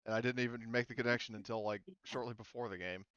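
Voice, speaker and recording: A man's voice: mid-range voice, fairly even intonation, quick pace, a bit bright, smooth, no echo, dull audio. A man acting as game master, talking casually and swearing freely to players in a tabletop roleplaying session. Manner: casual, profane.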